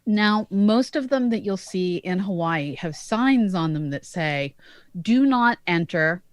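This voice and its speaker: A woman co-hosting a science podcast, explaining in a measured, careful way. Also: mid-range voice, expressive, no echo. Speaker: podcast co-host, astronomer.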